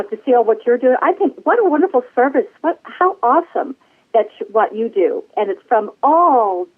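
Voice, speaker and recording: A woman in her sixties chatting casually as an interview guest, effusive and rambling, over a telephone. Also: fairly high pitch. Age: 60s. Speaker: podcast guest, flight attendant. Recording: tinny phone-line sound.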